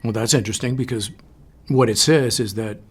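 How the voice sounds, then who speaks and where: An actor playing a firm but supportive agency director in an audio drama, measured and authoritative. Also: male voice, mid-range voice, slightly rough, close to an everyday voice. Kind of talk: audio fiction drama acting.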